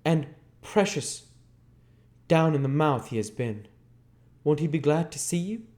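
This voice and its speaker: A man's voice: fairly high pitch, expressive, unhurried, a little mellow, pitched above the performer's own voice. A man voicing a cheeky, sly male accomplice in a storybook reading, with an informal, familiar manner.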